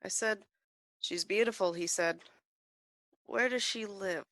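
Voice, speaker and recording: A small-town druggist, acted by a woman in an old-time radio drama, talkative and careless, with a medium-pitched voice. The recording is close and dry. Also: a little slow, a bit bright, dry recording, lightly acted. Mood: chatty. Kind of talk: 1940s radio-play acting.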